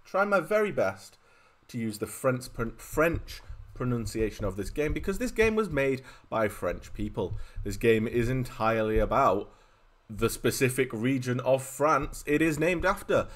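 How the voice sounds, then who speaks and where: A man's voice: mid-range voice, big pitch swings, a little slow. Chatty Yorkshire man streaming from home. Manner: chatty, rambling, self-deprecating asides. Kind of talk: casual live-stream chat. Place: home room.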